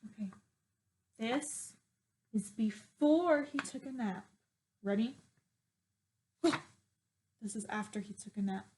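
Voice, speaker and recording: A woman teacher reading aloud to young children, expressive and exaggerating her emphasis. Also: on the high side, takes it slowly, long pauses, a little hiss or hum.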